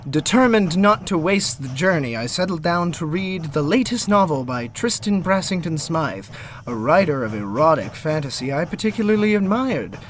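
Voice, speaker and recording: A man's voice: a little high, sing-song, normal speed, a little hiss or hum. A man playing a naive, earnest young American in a comic gothic audio drama, with melodramatic, theatrical delivery. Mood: ominous, melodramatic. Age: young adult. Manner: melodramatic, earnest, hesitant with superiors. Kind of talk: theatrical first-person audio drama narration and dialogue.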